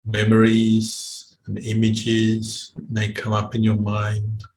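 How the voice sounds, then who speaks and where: A man teaching a guided meditation in a measured, unhurried way, with long pauses between phrases. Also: on the low side, completely flat delivery, darkish tone. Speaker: meditation teacher, Buddhist monk. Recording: roomy echo, faint background noise.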